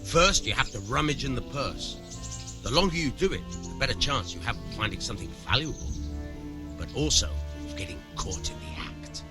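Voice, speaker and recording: An actor playing a plain-spoken, shady medieval village miller with a folksy, dry manner in a video game. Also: male voice, medium pitch, lively intonation, moderate pace, over music, close to an everyday voice. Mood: instructive. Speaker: game character, miller who teaches thieving. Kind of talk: acted game dialogue.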